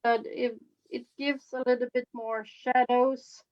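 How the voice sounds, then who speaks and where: A woman from Sweden with a Swedish accent, giving an informal demonstration as a face painting instructor, with halting phrasing. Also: fairly high pitch, level tone. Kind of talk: informal live demonstration with commentary. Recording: slightly dull, lo-fi webinar audio.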